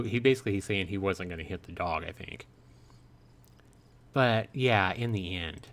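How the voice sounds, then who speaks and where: Male game streamer, lower-pitched voice, casual read-aloud of story dialogue with off-the-cuff reactions. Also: a bit bright. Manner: reads the dialogue aloud, then paraphrases and reacts. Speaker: streamer, host of a live play-through. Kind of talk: reading a visual novel aloud with casual reactions and commentary.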